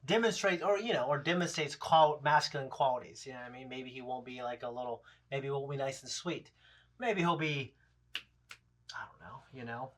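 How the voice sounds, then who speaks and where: A man's voice: medium pitch, fairly quick, a bit bright. A man in his thirties chatting casually as a reaction show host, in a rambling, blunt, profanity-laced way. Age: 30s. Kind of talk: casual chat, episode discussion.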